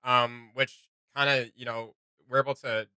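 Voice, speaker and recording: Very bright-voiced man chatting casually. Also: mid-range voice, flat delivery, measured pace, smooth, dry recording. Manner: rambling, giggly, informal, many "like" and "you know". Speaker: reaction video host. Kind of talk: casual reaction chat.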